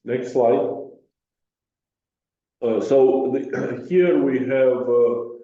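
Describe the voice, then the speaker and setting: A man presenting company results on a conference call as an executive, with a halting, hesitant delivery. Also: medium pitch, mellow. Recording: muffled, very echoey, distant microphone.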